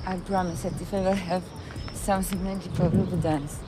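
A woman with a Ukrainian accent, live-streaming from a city park and chatting with her viewers in a halting way, often searching for words. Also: fairly low pitch, fairly even intonation, very noisy. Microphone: phone.

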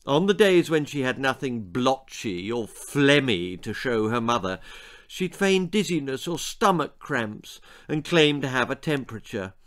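A man's voice: mid-range voice, very animated, a little slow, fairly bright tone. A man in his sixties with a British accent, an author reading his children's story theatrically, relishing each grotesque detail. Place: small book-lined room indoors.

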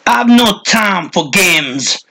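A man voicing a cranky, hunched old hermit with a high, strident, shrill voice who rants and mutters. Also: animated, takes it slowly, vocal fry, pushed and bright, slight background noise, exaggerated voice. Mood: angry ranting. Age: older.

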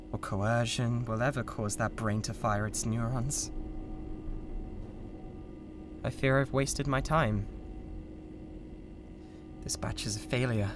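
A man's voice: medium pitch, lightly acted. A British man playing a grandiose, volatile mad scientist who plays god, theatrical and contemptuous, in a scripted ASMR audio roleplay. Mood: weary, cold.

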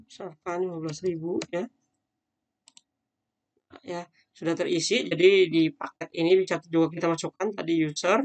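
A man's voice: a little high, flat delivery, moderate pace, long pauses. A man teaching a screen-recorded coding tutorial, talking casually and thinking aloud with frequent fillers as he demonstrates. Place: at a computer, voice-over on screen recording.

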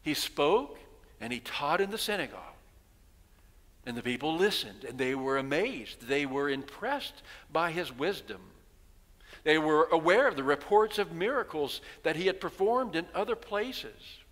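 Bright-voiced older pastor preaching in church. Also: male voice, on the high side, expressive, unhurried. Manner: storytelling preacher, rhetorical questions, voicing townspeople. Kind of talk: sermon. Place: large church sanctuary, at pulpit. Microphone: headset mic. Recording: long reverb of a church hall.

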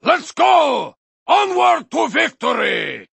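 Casting: deranged Viking berserker, high raspy shrieks, wildly swinging pitch, slow and drawn out, dry studio. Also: male voice, wildly swooping pitch, no echo, heavily acted. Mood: manic taunting. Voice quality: gravelly, raspy shouting with manic cackles. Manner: boastful, taunting battle cries, sudden drops to a menacing growl. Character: crazed Viking warrior racer, male, bloodthirsty and manic. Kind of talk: video game character voice lines.

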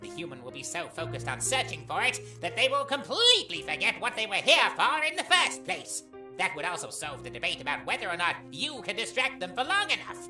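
A woman playing a boisterous, naive, self-assured skeleton in a fan audio drama, with loud theatrical declarations. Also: fairly low pitch, huge pitch swings, brisk, faint music behind, heavily acted. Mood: eager, boastful.

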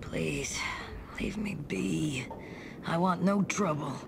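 An actress playing a timid, forlorn young woman in a fantasy game, hushed, hesitant and sorrowful. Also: low-pitched, slow pace, gravelly, noisy background, exaggerated voice. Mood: sad, fearful. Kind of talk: fantasy video game dialogue.

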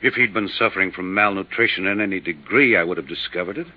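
An American actor playing a defensive, guarded doctor in a 1950s radio drama, speaking in a measured way. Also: male voice, low voice. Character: defensive, guarded male small-city doctor. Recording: dull 1950s radio recording.

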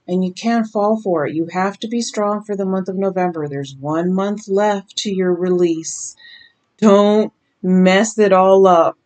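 Slow-speaking raspy woman reading tarot. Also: mid-range voice, darkish tone. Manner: slow, emphatic, drawn-out warnings. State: raspy from lack of sleep. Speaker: tarot reader. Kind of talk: slow intuitive card reading to camera. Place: small decorated bedroom indoors.

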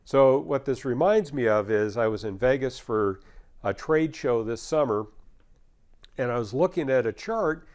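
An American man in his fifties working as a technical trainer, explaining a software demonstration step by step in a conversational way. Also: mid-range voice, animated, measured pace.

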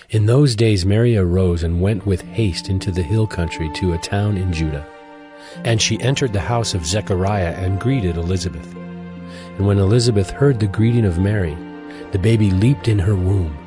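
Deep-voiced male scripture narrator. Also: over music. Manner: measured, solemn storytelling.

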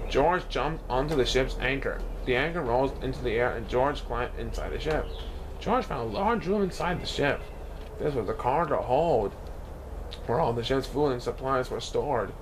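A man reads a children's storybook aloud in an animated storytelling manner, now and then stumbling over a word. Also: mid-range voice, noisy background. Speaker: storybook reader.

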